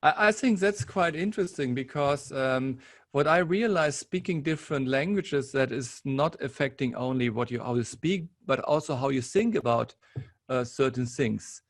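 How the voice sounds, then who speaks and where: A man's voice: mid-range voice, moderate pace. A man interviewing a guest, asking hesitant questions and often repeating words as he forms them.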